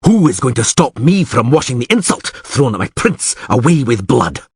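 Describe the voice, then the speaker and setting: A man playing a proud nobleman who shouts and demands revenge. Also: medium pitch, big pitch swings, normal speed, husky, no room sound, clean studio sound, pitched above the performer's own voice, heavily acted. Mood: vengeful. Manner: shouting, vengeful. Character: proud hot-blooded nobleman.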